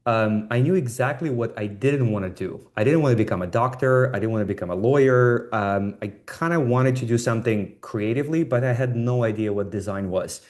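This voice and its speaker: A man's voice: mid-range voice, brisk, hardly any pauses, darkish tone. A man, a designer telling his own story informally and candidly in an online talk. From Estonia. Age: 40s. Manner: candid, self-deprecating, swears casually.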